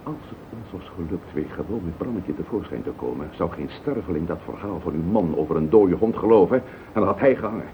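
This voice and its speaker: An actor playing a callous, scheming murderer in a radio play, blunt and cynical, with a gravelly voice. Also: male voice, low voice, expressive, fairly quick. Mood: defiant. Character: callous scheming wife-murderer confessing without remorse. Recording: tinny, noisy old radio play recording.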